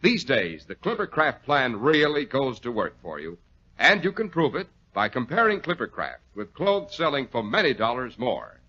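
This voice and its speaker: A man's voice: mid-range voice, wildly swooping pitch, normal speed. An American man hosting a 1940s radio show, speaking in a polished announcer's style with a salesman's delivery. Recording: dull vintage 1940s radio recording.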